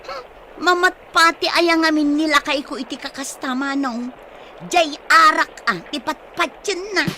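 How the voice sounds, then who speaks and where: A woman's voice: high-pitched, measured pace. An actress playing a worried, nagging village mother in a Filipino radio comedy drama. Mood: exasperated. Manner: exasperated, nagging.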